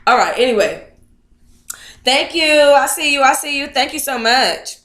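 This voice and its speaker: A woman's voice: high-pitched, expressive, moderate pace, sharp tone, roomy sound. A woman in her thirties reading aloud casually and breaking in with blunt, sassy, profane commentary. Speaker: book club host reading aloud and commenting.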